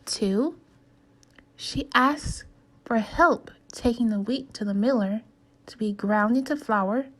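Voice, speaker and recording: A woman with a fairly high voice reads a children's story at a slow pace. Her delivery is deliberate and instructive, like a teacher guiding young listeners through a lesson and putting questions to them. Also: poor audio. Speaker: teacher reading aloud to children. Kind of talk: slow storybook read-aloud and lesson for kids.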